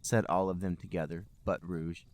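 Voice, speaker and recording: A man's voice: deep voice, steady tone, normal speed, a little mellow, no room sound. A man hosting a podcast, reading fan fiction aloud for comedy. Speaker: podcast host and reader.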